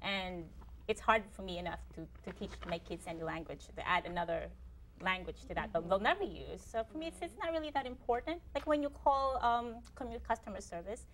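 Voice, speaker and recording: A woman's voice: medium pitch, expressive, brisk, a little hiss or hum, poor audio. A woman co-hosting a studio talk show, candid and matter-of-fact, laughing easily. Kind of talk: casual panel chat.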